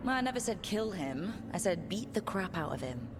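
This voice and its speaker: A woman playing a steady, caring adventurer in a video game, speaking in an earnest, frank and reasoning way. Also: mid-range voice, normal speed, background noise, close to an everyday voice. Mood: wry, encouraging. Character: steady, caring, frank female adventurer talking a companion through pain. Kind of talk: dramatic video game voice acting.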